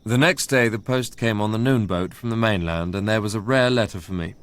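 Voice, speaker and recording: An actor as a brooding, low-voiced young Englishman narrating. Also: natural voice. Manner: literary, measured storytelling. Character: brooding, proud young Englishman recounting his ordeal. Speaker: first-person narrator. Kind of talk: dramatic radio drama narration.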